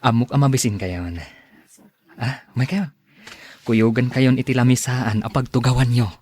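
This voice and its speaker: A man's voice: medium pitch, moderate pace, a little mellow, husky, close to an everyday voice. A man acting a devoted, generous young husband, eager to please, in an Ilocano-accented radio drama. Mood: proud, eager.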